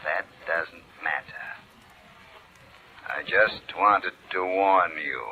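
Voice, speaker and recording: A sinister dead man in a vintage radio thriller. His voice is deep and extremely slow, drawn out with ominous, mock-fatherly menace. The old recording sounds dull. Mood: sinister, taunting. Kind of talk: old-time radio mystery acting.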